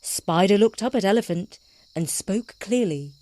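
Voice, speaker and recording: A woman narrating a scripted children's story in an expressive, dramatic storytelling style. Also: mid-range voice, unhurried, no echo.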